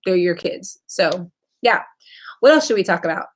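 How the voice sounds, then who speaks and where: A woman's voice: lowish voice, expressive, moderate pace, husky. A woman in her thirties hosting a casual livestream, chatting informally and answering questions in a rambling way. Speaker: livestream host.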